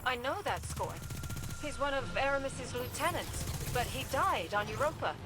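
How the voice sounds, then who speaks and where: A woman playing a brisk, wry mission handler in a video game, giving clipped, commanding briefings in a businesslike way. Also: a little high, lively intonation, heavy background noise, natural voice.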